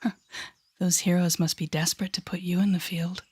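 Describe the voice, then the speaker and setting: A woman playing a sardonic, menacing telepathic villain in an audio roleplay, mocking and theatrical with deliberate pauses and a husky, breathy voice. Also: on the low side, level tone, a little mellow. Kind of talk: audio roleplay acting addressed to the listener.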